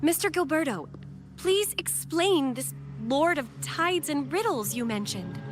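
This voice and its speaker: Devout young game heroine, high voice, very expressive, courteous, earnest, faint music behind. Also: female voice, unhurried. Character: earnest, polite young devout female acolyte. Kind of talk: video game voice acting.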